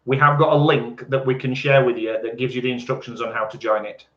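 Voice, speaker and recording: A man's voice: mid-range voice, flat delivery, fairly quick, fairly bright tone. A man in his forties co-hosting a live stream, teasing his partner in casual banter and reading out viewer comments. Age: 40s. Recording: echoey, slightly dull live stream sound.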